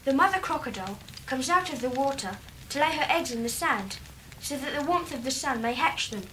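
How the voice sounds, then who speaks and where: Earnest little english boy, high lively voice, radio play. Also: a little fast, slight background noise, low-quality recording. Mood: earnest reading. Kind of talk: radio drama acting.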